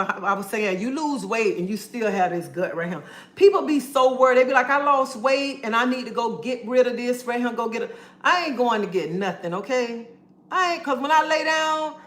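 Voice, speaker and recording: A woman's voice: on the high side, a bit bright, echoey room. Sassy woman preaching on a livestream. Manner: sassy, preachy, calls listeners honey and baby. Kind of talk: casual livestream pep talk.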